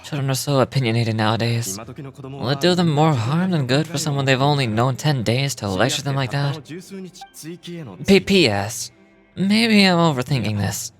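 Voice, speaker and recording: A man's voice: medium pitch, animated, normal speed, music in the background, lightly acted. A man voicing a kindly, modest stage magician from a game, reading his diary in a reflective way and occasionally stumbling over words. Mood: concerned, reflective.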